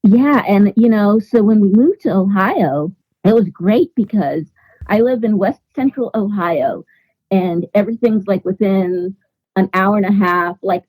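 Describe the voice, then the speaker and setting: A woman's voice: medium pitch, dull audio. A woman from Texas, a podcast guest in casual chat, talking chattily with lots of "you know" and "like".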